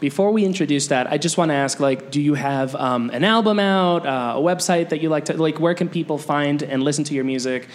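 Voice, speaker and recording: A man's voice: a little high, fairly quick, few pauses. A man hosting a music podcast, chatting casually with slang and lots of fillers. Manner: relaxed, laid-back, lots of fillers and slang. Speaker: podcast host. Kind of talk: casual interview chat.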